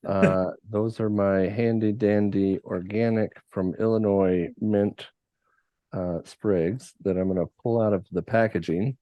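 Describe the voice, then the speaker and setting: A man's voice: lowish voice, fairly even intonation, unhurried, lo-fi sound. A man in his forties from Arkansas hosting a cocktail show over a video call from his home kitchen bar, chatty and joking with many fillers. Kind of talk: casual video call chat.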